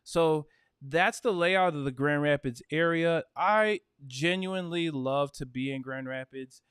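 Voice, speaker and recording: A man talks through a casual realtor livestream in an upbeat, chatty way, with lots of "uh" and "you know" along the way. His voice is smooth and resonant. It sits dry and close on the mic, with no room sound. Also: a little high, unhurried, a bit bright, dry recording. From Michigan. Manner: chatty, upbeat, with frequent fillers.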